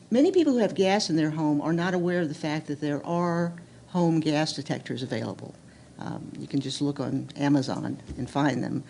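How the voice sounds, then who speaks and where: A woman's voice: sits low, creaky, warm tone, a little hiss or hum. An American woman speaking at a medical seminar, practical and direct, with frequent ums and uhs. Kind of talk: seminar talk.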